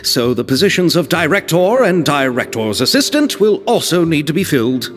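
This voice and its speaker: An actor playing a timid, earnest young filmmaker in a video game, hesitant, stammering and effusively grateful. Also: male voice, on the high side, very animated, moderate pace, in a large hall. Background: game music.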